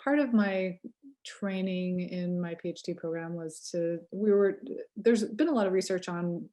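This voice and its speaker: A woman with a Californian accent speaks as an interview guest in a relaxed, chatty way. Her voice sits fairly low and sounds mellow. She fills her talk with "um" and "like", restarts her sentences, and laughs easily. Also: unhurried. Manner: chatty, many fillers and self-corrections, laughs easily. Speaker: guest researcher. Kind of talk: casual interview conversation.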